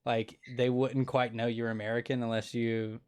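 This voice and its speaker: A man's voice: mid-range voice, level tone, normal speed, a little mellow, clean tone. Male show host, casual and earnest, rambles through restarts and fillers. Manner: earnest, opinionated. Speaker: podcast host. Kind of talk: casual interview.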